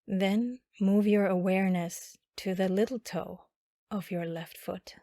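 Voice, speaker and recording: A woman guiding a body scan meditation in a calm, instructional manner with long pauses. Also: mid-range voice, dry recording, clean studio sound. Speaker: meditation guide.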